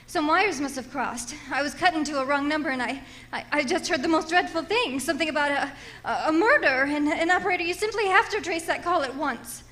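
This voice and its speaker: A woman's voice: sits high, animated, fairly quick, few pauses, a bit bright. An actress playing an imperious, frightened married society woman in radio melodrama, agitated, haughty and prone to stammering. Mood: agitated, urgent. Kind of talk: old-time radio melodrama acting.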